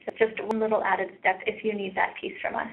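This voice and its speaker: A soft-voiced woman with a Canadian accent giving a webinar presentation over a thin conference line. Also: mid-range voice, little pitch movement, a little fast, narrow, tinny audio. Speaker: presenter, research practice lead.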